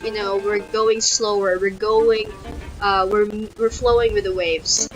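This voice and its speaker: A woman, casually talking through a story game and reading its text aloud, thinking out loud with frequent restarts. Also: medium pitch, fairly even intonation, a little slow, poor audio. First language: Filipino. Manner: chatty, talks through her choices with restarts. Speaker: gaming YouTuber. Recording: loud game music behind the voice.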